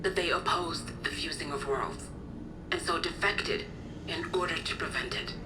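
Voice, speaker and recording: An actress voicing a composed, articulate resistance officer in a video game, explaining things formally and with measured care. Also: female voice, low-pitched, noisy background, close to an everyday voice. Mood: composed, informative. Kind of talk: video game cutscene acting.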